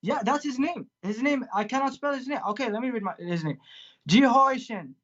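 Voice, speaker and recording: A man whose first language is Arabic speaks as a caller in a livestream debate, halting and hesitant, stumbling over his words. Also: very high-pitched, animated, a bit bright, dull audio.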